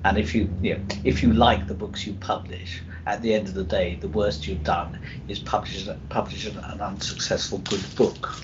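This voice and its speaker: A low-pitched man talks publishing in an informal panel setting. He often repeats words and restarts phrases. The sound is dull and lo-fi, with a faint rumble underneath. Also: little pitch movement, normal speed, hardly any pauses. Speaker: publisher, podcast guest. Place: live convention recording over internet call. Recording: muffled lo-fi internet call with rumbling noise.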